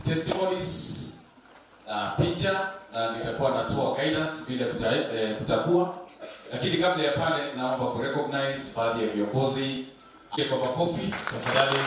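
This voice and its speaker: A man acting as master of ceremonies, directing a ceremony and recognizing guests. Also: mid-range voice. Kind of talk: event announcements. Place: large tent at a ceremony. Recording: distant echoey PA in tent. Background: crowd and noise.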